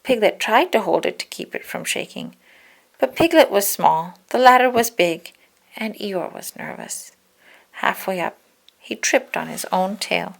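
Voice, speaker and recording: A woman narrates a children's picture book aloud. Her voice sits at a middling pitch with plenty of creak in it. She reads expressively, as a story for young listeners. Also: expressive, a little slow, low-quality recording. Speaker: storybook reader.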